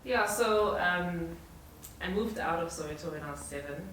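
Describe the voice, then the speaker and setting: A woman's voice: fairly low pitch, lively intonation, moderate pace, a bit bright, far from the mic. A South African woman, a business founder, telling her story in an easygoing casual interview. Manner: easygoing, lightly laughing storytelling. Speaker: guest, entrepreneur. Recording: very echoey room, off-mic, noisy.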